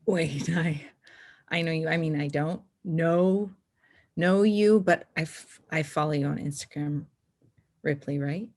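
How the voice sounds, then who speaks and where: Woman acting earnest teenage girl, husky, crackly low register, drama read-through, dry sound. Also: unhurried, darkish tone, dry recording. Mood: flustered. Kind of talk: screenplay table read.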